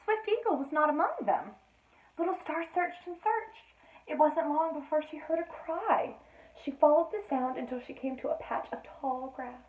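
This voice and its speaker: A woman reading a children's story aloud in an expressive teacherly style, with playful asides to her listeners. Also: high-pitched, moderate pace. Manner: addresses listeners with playful questions, dramatic emphasis. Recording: roomy, echoey, narrowband sound.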